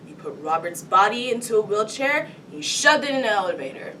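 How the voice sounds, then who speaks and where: A woman's voice: big pitch swings, brisk, a bit bright. A woman performing a cocky police detective who lays out a crime to a suspect in a comic TV monologue, taunting and dramatic. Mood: taunting, insistent. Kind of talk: comedy TV monologue performed solo. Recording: distant mic, rumbling background noise.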